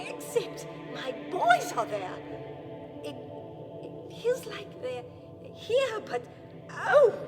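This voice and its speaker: An actress voices the spirit of a royal woman, candid and full of feeling. Her high voice swoops widely in slow, halting, theatrical phrases. Music plays clearly behind this radio play performance. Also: full character voice. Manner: dramatic, halting, swooping delivery. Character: emotional departed royal women in the afterlife, wistful and candid. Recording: reverberant hall with music behind.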